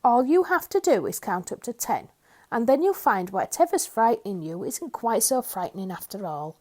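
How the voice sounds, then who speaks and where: A woman gives voice to a kind-hearted tramp from a children's story, speaking gently and calmly. His tone is soothing and unhurried, with a slightly raised pitch. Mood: gentle. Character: kindly, gentle male tramp.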